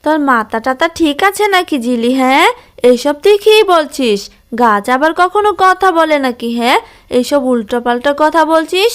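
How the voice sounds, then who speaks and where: A woman's voice: high voice, expressive, normal speed. A woman voicing a suspicious, scolding, protective mother jackal in a cartoon. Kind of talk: cartoon voice acting.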